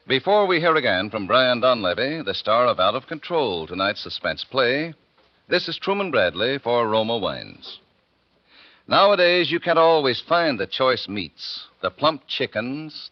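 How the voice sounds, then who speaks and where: A man announcing a 1940s radio commercial in a polished, deliberate sales manner on a muffled old broadcast, with music behind. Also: medium pitch.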